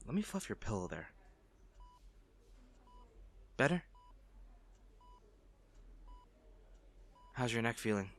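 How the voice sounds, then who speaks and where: A man playing a kind, worried young male rescuer in a roleplay audio drama, speaking in a gentle, hesitant, reassuring manner. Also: lowish voice. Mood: relieved, gentle. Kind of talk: acted first-person roleplay audio drama.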